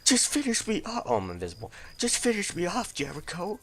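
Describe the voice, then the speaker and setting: A man's voice: high-pitched, wildly swooping pitch, brisk, a bit bright. A young man hosting a let's play, chatting casually and rambling with self-deprecating jokes while he plays. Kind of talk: casual gameplay commentary.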